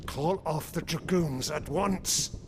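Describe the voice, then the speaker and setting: An actor playing an aging, stern emperor who speaks in a commanding, indignant way in a video game cutscene. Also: male voice, fairly high pitch, takes it slowly. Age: older. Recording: game audio with loud background noise.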